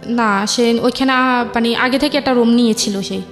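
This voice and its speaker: A young woman with a Bangladeshi accent recounts personal experiences conversationally, in a halting way with repeated phrases. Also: on the high side, fairly even intonation. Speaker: interview guest telling her life story. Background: music.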